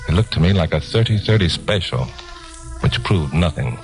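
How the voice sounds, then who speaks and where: A man's voice: deep voice, animated, moderate pace, gravelly. An American man playing a wry, unflappable newscaster sleuth in a 1950s radio drama, easygoing and teasing. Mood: measured storytelling. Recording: 1950s radio recording with music.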